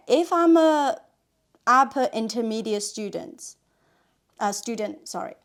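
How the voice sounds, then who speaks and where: Woman musing in conversation. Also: medium pitch. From China. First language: Chinese. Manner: thoughtful, many "you know" and "uh" fillers. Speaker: guest, language content creator. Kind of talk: casual interview chat.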